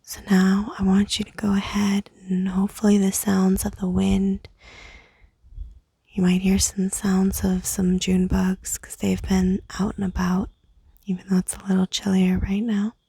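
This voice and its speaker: A young woman whispering a guided meditation outdoors at night into a small microphone, soft and unhurried with fillers. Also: medium pitch, monotone, creaky, breathy edge, no room sound. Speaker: meditation host. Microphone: small handheld microphone.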